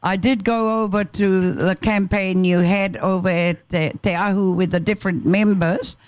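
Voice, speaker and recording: A man with a New Zealand accent hosting a radio interview in an unhurried, conversational way. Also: sits high, steady tone, clear, resonant tone, no room sound. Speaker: radio host.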